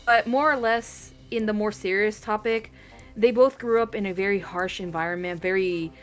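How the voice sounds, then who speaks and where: A woman's voice: medium pitch, steady tone, moderate pace, soft background music. A young woman in a casual chat. She talks in a hesitant, chatty way and uses many fillers. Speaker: co-host. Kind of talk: casual chat ranking anime couples.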